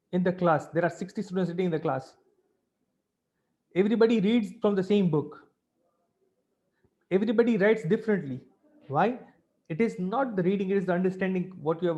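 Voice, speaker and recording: A man's voice: high voice, moderate pace, pauses often, dark tone. A man with an Indian accent giving an informal webinar lecture as a teacher, in a didactic way, often checking in with short tag questions. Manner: didactic, repeatedly checking with "right?" and "fine". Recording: dull lo-fi webinar audio.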